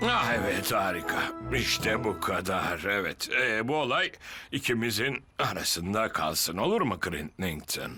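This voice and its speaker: A pompous, flustered cartoon fire officer. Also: male voice, fairly low pitch, sing-song, measured pace, full character voice. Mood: sheepish. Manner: officious, blustering. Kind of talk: animated cartoon dub acting. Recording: loud music behind the voice.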